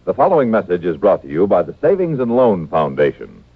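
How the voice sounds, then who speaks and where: A man's voice: low voice, huge pitch swings, brisk, slightly rough, dry recording. A man with an American accent reading scripted sponsor messages as a vintage radio announcer. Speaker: commercial announcer. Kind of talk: 1950s radio advertisement read. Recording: tinny, dry old radio recording.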